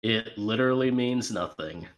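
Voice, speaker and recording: A man's voice: on the low side, normal speed. A man giving brief, casual feedback as a participant in an online group discussion.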